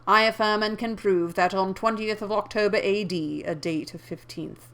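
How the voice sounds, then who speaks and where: A woman voicing a calm male lawyer who reads formally and deliberately in an official nasal voice. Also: medium pitch, natural voice. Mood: officious.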